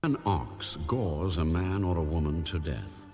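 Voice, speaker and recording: A man narrating scripture formally as an audiobook reader, with solemn, measured phrasing. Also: low voice, expressive, darkish tone, soft background music.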